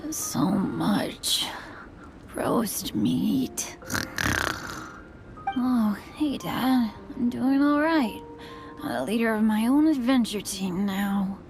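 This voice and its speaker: An actress voicing an earnest, drowsy young adventurer in a video game. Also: female voice, fairly high pitch, drawn-out words, long pauses, music in the background. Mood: sleepy. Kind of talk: animated video game voice acting.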